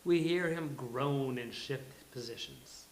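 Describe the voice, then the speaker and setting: A man hosting a live table read, announcing and reading stage directions in a halting, deliberate way. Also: mid-range voice, animated, a little hiss or hum. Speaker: host and stage-direction reader. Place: live venue with audience. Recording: echoey live venue.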